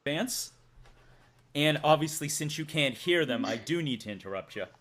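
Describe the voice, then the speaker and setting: A man's voice: on the high side, expressive, moderate pace, a bit bright. A man chatting on a live stream, rambling playfully and reading out viewer donations. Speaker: livestreamer.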